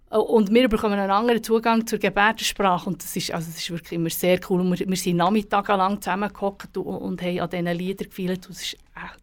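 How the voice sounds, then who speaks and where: A woman interpreter, speaking casually in quick, eager bursts with restarts and repeated words. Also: mid-range voice. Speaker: sign language interpreter. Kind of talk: casual chat.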